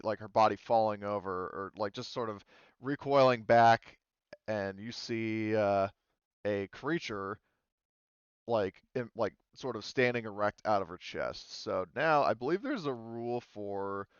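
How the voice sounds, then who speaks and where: A man's voice: lowish voice, smooth, dry recording, muffled recording. A man running a tabletop game as game master, rambling along with lots of fillers and self-corrections.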